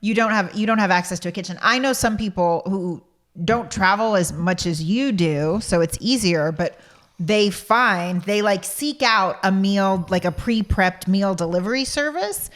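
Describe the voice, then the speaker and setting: A woman co-hosting a podcast, chatting casually and supportively, quick to laugh. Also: mid-range voice. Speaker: podcast co-host. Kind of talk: casual chat.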